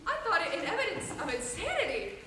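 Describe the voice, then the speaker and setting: Actress as a high, lively, prim witness. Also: female voice, fast. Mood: prim, affronted. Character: prim, indignant female courtroom witness. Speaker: student stage actor. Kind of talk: high school stage play acting. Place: large indoor stage, far mic. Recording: reverberant noisy hall, distant mic.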